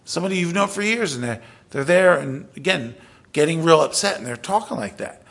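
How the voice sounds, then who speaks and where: A middle-aged male pastor with a gravelly, husky voice pitched a little high. He preaches in a loose, conversational way, restarting his sentences, then pushing up to emphatic peaks before dropping almost to a whisper. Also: animated, a little fast, a bit bright. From South Philadelphia. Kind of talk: sermon.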